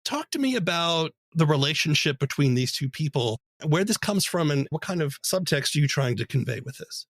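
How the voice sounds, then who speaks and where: A man hosting an interview podcast, summarizing a story in a clear, measured way. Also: a little high, very animated, fairly bright tone, dry recording. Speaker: podcast host.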